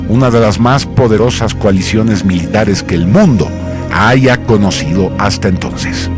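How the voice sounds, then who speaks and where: A man narrating a scripted radio drama in a solemn, grandiose manner with measured phrasing. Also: low-pitched. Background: music.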